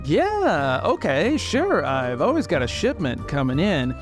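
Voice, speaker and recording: A man's voice: a little high, wildly swooping pitch, unhurried, music in the background. A man voicing an old, boastful, eager antiques seller in a tabletop game, rambling through his sales patter. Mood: eager salesmanship.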